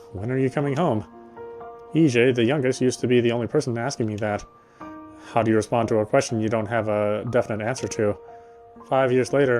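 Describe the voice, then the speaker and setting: A man's voice: mid-range voice, darkish tone. A man gaming and reading a visual novel's narration aloud, with casual asides. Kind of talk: let's play read-aloud with casual asides.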